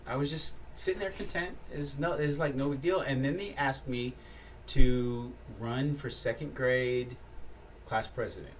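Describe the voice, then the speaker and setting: Male actor guest, animated storyteller, sits a little back from the mic with faint room noise. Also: medium pitch, measured pace, distant mic. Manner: animated storyteller, laughs often. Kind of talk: casual chat.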